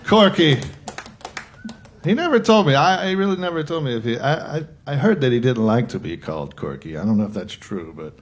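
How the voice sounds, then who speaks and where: A man gives an informal eulogy into a stage mic at a music club. He stumbles and restarts, fills pauses with ums and lets out nervous laughs. His pitch sits in the middle range. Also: big pitch swings. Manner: halting, many restarts and fillers, nervous laughs. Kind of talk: informal memorial speech.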